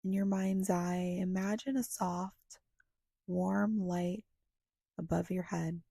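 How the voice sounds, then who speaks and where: A woman guiding a meditation in a soft, breathy, hushed and soothing voice, leaving long pauses between short phrases. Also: on the low side, steady tone, dry recording, clean studio sound. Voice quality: soft, breathy, often slipping toward a whisper. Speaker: guided meditation guide. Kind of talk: guided meditation narration.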